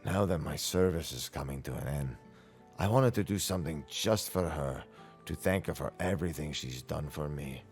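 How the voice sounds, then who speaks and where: Man doing a deep-voiced aging craftsman. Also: a little mellow, faint music behind. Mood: tender, earnest. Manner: measured, formal, earnest phrasing. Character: gruff but fond older craftsman nearing retirement. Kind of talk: video game dialogue read aloud in character.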